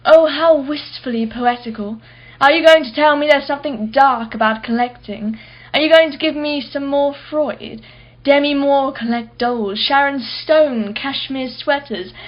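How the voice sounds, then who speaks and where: A haughty, wealthy woman collector in a radio drama. Her voice sits fairly high, and she speaks in an arch, witty, defensive way. The sound is slightly dull. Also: a little slow. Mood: sardonic. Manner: arch, articulate, sardonic. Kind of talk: radio play acting.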